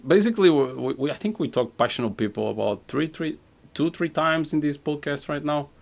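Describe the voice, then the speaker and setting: Portuguese man with gravelly voice. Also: a little high. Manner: halting, repeats words while searching for English. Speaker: podcast host. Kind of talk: casual interview chat.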